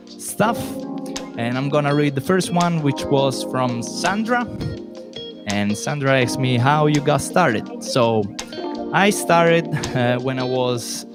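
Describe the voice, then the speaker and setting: Casting: Italian man in his late twenties, live podcast host, easygoing and slow, searching for English words. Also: mid-range voice. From Italy, Rome. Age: late 20s. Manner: relaxed, chatty, searches for English words with many fillers. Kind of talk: live solo Q&A stream.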